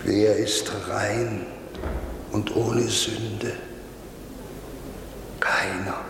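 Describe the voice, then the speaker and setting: An actor playing a stern old priest who delivers a solemn, measured sermon in a 1950s German radio drama. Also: male voice, fairly low pitch, level tone. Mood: grave, stern. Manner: solemn, rhetorical, measured preaching with deliberate pauses. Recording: 1950s radio, echoing church reverb, background noise.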